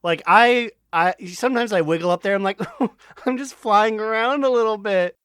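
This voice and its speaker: A man with a fairly high, clean voice chats as a podcast co-host. He is bawdy and joking, and the sound is close and dry. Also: moderate pace, fairly bright tone, smooth, dry recording. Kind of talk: casual comedic banter.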